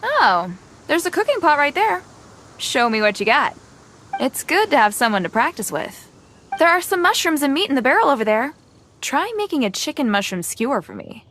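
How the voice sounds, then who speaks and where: A woman's voice: high voice, very animated, normal speed, fairly bright tone, slight background noise. An actress playing a friendly, upbeat young female survival expert in a video game, welcoming and encouraging.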